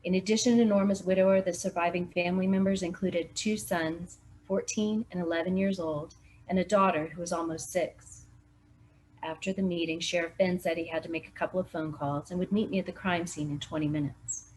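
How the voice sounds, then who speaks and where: A woman volunteer reading a mystery novel aloud in steady first-person narration. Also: fairly low pitch, brisk, a little hiss or hum, poor audio. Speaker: volunteer reader.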